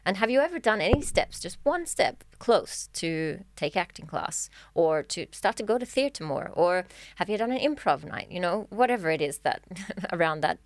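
A woman's voice: fairly low pitch, big pitch swings, normal speed, few pauses, no echo. A woman life coach speaking as a podcast guest in an earnest, encouraging way, often restarting her phrases. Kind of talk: casual podcast chat.

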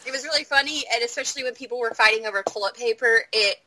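A woman's voice: fairly high pitch, very fast, sharp tone. A woman in her thirties chatting casually as a podcast co-host, telling stories dramatically and swearing freely. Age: 30s. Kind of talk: casual chat, animated storytelling.

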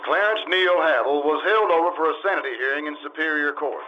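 A man playing a formal, authoritative 1950s radio announcer, reading scripted announcements in a measured official style. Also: on the high side, soft background music, natural voice. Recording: dull lo-fi audio.

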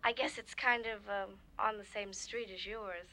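A woman's voice: mid-range voice, fairly even intonation, moderate pace, pushed and bright, close to an everyday voice. An actress playing a skeptical, unimpressed woman friend in a radio comedy. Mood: hesitant, knowing.

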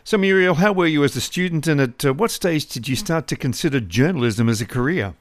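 A man's voice: a little high, expressive, fairly quick, no echo, studio quality. A man hosting a radio-nostalgia interview show, introducing his guest and asking questions. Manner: upbeat, scripted intro. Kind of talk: podcast interview.

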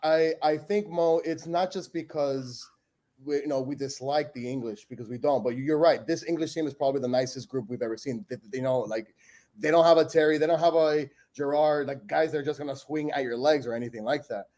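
A man from Peru in blunt, animated casual sports chat, cursing freely as a podcast panelist. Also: a little high, brisk.

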